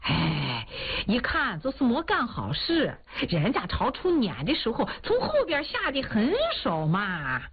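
A woman's voice: fairly high pitch, huge pitch swings, unhurried, pitched above the performer's own voice, full character voice. A woman voicing a teasing old man who keeps a coffin shop, talking in sly, mocking banter. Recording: tinny phone-like sound, faint music.

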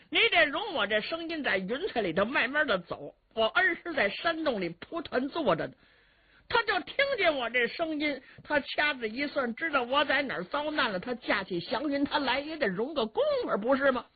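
A woman storyteller voicing a cornered, blustering male warrior who wheedles and wails in drawn-out pleas. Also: high voice, expressive, phone-quality sound, pitched above the performer's own voice. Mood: wheedling, stalling. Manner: wailing drawn-out chants, wheedling.

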